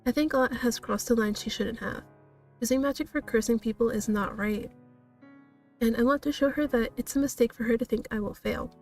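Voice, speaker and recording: Woman reading as determined young magic heroine, earnest and coaxing, higher voice, visual novel, music underneath. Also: a little fast, darkish tone, distant mic, close to an everyday voice.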